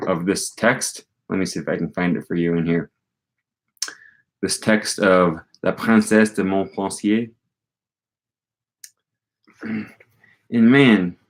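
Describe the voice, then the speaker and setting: A young man talking casually on a live stream from a small room, rambling with many ums and restarts. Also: on the low side. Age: young adult. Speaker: language learning streamer. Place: small room with bookshelf, indoors. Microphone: webcam at desk.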